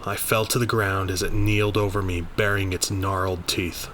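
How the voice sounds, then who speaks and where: A man narrates a scripted horror story in the first person. His voice is on the low side, with a strong bright, forceful edge. There is faint noise in the background. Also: little pitch movement, normal speed.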